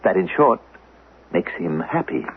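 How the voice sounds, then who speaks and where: An actor playing a smooth, insinuating council agent in 1970s radio drama, deliberate and politely menacing. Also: male voice, low voice, sing-song, full character voice. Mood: probing, insinuating. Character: smooth, insinuating male council agent posing as language teacher. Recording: muffled lo-fi 1970s radio broadcast.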